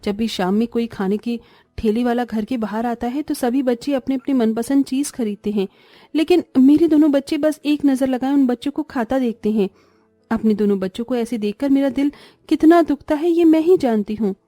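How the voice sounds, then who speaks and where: A woman as a high-voiced, plaintive young mother. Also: moderate pace, dark tone, no echo, natural voice. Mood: worried, sad. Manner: earnest, plaintive. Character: anguished, caring young mother and daughter-in-law in poverty.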